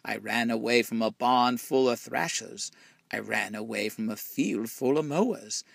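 A man's voice: medium pitch, measured pace, gravelly, dry recording. A man voicing a cheeky, boastful little gingerbread boy in a storybook, chanting taunts in a sing-song way. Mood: boastful, taunting.

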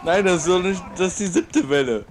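Chatty young man gaming, slightly high. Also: fairly quick, slight background noise. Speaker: gamer. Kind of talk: casual gaming chat with friends.

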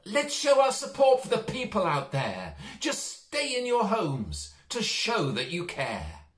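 Man putting on an eager, high-pitched little mouse. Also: huge pitch swings, slow, fairly bright tone, echoey room, heavily acted. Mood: rallying, urgent. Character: curious, kindly, eager little male storybook mouse.

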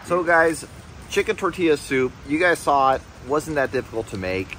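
A man's voice: fairly high pitch, very animated, moderate pace, fairly bright tone, slight background noise. Easygoing man vlogging at camp. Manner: easygoing, explains as he goes. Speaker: overlanding vlogger. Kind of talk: casual camp vlog. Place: outdoor mountain campsite.

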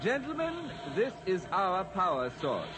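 An actor playing a formal, haughty alien overseer who flusters easily, in precise, theatrical 1950s radio drama style. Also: male voice, sits high, huge pitch swings, measured pace, exaggerated voice. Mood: coolly formal. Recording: noisy old radio drama recording.

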